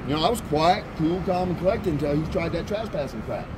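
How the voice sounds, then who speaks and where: A man's voice: on the high side, expressive, quick pace. Brief: heated, profane middle-aged man, handheld camera on a sidewalk, street noise around him. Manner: loud, blunt, profane. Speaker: camera-carrying activist. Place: outdoors on a town sidewalk by a street. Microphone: handheld camera rig on a gimbal.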